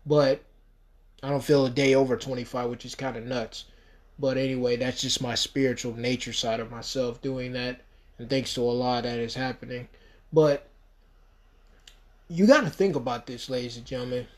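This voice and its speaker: A man's voice: mid-range voice, steady tone, normal speed. A man in his thirties from the United States, talking alone on his podcast in a rambling, emphatic way, full of "you know" fillers. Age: 30s. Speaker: podcast host. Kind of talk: solo podcast monologue.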